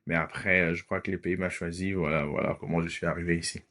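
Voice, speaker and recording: A man from Congo with a Congolese French accent, his first language Lingala, tells his story as a podcast guest. His voice sits a bit low, and he speaks haltingly, with frequent fillers and English words dropped in. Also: steady tone, a bit bright. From Democratic Republic of the Congo. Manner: hesitant, many fillers, drops in English words. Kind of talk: casual interview talk.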